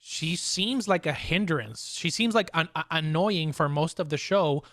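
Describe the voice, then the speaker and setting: A man with a fairly high voice hosts a casual pop-culture podcast. He talks in a halting, conversational way, doubling back on words. The sound is dry and close, with little room in it. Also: fairly bright tone, no room sound. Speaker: podcast host.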